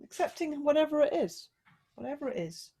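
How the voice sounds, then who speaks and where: Woman guiding a slow, mindful relaxation. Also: sits high, big pitch swings. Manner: slow, mindful, gently coaxing instructions with pauses. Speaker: yoga teacher. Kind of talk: guided relaxation and body check-in.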